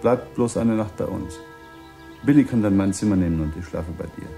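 A man's voice: on the low side, brisk, warm tone, soft background music, natural voice. An actor playing an easygoing husband chatting familiarly with his wife in a German radio drama. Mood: casual, familiar. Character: smooth-mannered, casual husband talking to his wife.

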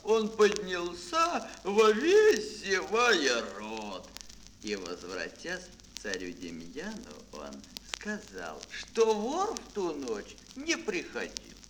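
A man telling a fairy tale for children in a dramatic, drawn-out, expressive storyteller's manner. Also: high-pitched, slight background noise, poor audio. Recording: music behind, old mono record. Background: music.

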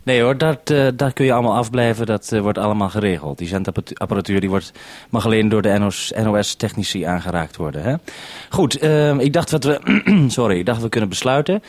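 A man's voice: fairly low pitch, a little fast, a little mellow, no echo. A man in a practical two-way radio exchange, speaking as a businesslike programme maker organising things.

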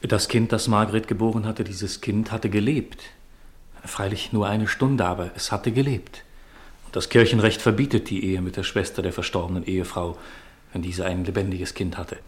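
Male actor doing troubled widower in old radio play, lowish voice, formal and grave, like he's confessing. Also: fairly even intonation, moderate pace, close to an everyday voice. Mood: burdened, confessing. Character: troubled, guilt-burdened widower confiding his forbidden love. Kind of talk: 1950s German radio drama acting.

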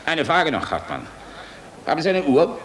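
An actor playing a weary, resolute air force general in a German radio drama, grave and clipped. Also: male voice, fairly high pitch, big pitch swings, moderate pace, fairly bright tone, a little hiss or hum, low-quality recording. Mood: gruff, fatherly.

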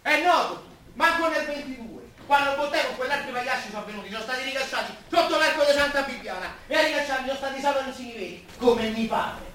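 A distant, reverberant recording with clear noise carries an actor as a working-class Roman man. He is high-pitched, gossipy and droll, speaking in broad Roman dialect with a deferential streak, as in a staged radio drama. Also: fast, bright, forceful tone. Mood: indignant, proud. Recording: distant, echoey room, noisy live recording.

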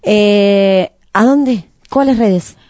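A woman's voice: mid-range voice, very slow, dark tone, no room sound, clean studio sound. A woman with an Argentine Rioplatense accent hosting a radio show in a casual, rambling, playful chat. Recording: background music. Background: music.

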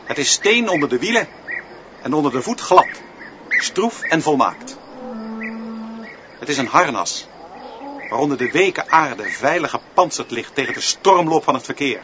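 A man's voice: a little high, very animated, unhurried, long pauses, noisy background. A man acting as an opinionated, pedantic schoolteacher in a radio drama, lecturing in a declamatory way. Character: opinionated, pedantic male schoolteacher leading pupils. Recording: dull recording with music behind.

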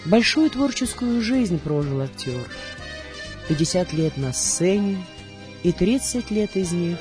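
A woman presenting a radio programme in a scripted, measured and literary narration, with a declamatory touch. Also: lowish voice. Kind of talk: scripted documentary narration about theatre. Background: music under the voice.

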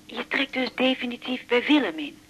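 A fussy, nosy middle-aged mother in a radio play, with a bright, forceful voice and lively swings of pitch. She is chatty, slightly rough in tone and quick to butt in. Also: low-quality recording. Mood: fussy, questioning. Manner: animated, fussy, quick to interject. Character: chatty, nosy widowed mother of grown daughter. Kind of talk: naturalistic 1980s radio drama acting.

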